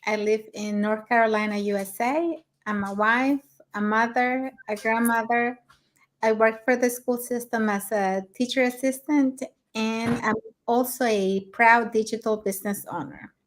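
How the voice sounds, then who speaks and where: A middle-aged woman from Mexico on a webinar panel, briskly reading out lists and reminders. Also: fairly high pitch, steady tone.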